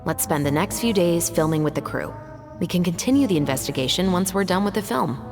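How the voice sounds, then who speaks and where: A woman playing a composed, serious investigator and patrol captain in a video game, speaking in a measured, articulate way. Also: on the low side, lively intonation, music in the background. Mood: measured, thoughtful.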